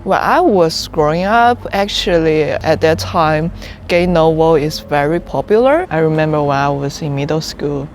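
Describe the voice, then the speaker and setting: A woman's voice: deep voice, normal speed, slight background noise. A young woman in her twenties from China, a native Chinese speaker, answering questions in a casual, frank way with restarts, recorded outdoors on a rooftop terrace. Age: 20s. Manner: open, frank, with frequent restarts and laughs. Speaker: interviewee.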